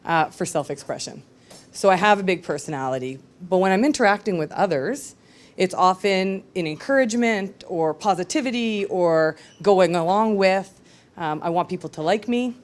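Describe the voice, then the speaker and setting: Brief: woman storyteller on stage, slightly low voice, candid and reflective, halting now and then. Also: a little mellow. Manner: candid, reflective, with frequent hesitations. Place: live storytelling event with audience.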